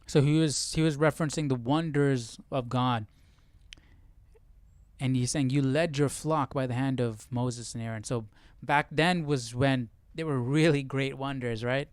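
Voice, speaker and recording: A man's voice: mid-range voice, fairly even intonation, measured pace, no echo. A man hosting a livestream, talking through scripture informally and checking in with rhetorical questions. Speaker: host of a Bible study livestream. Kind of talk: casual conversational Bible commentary to viewers.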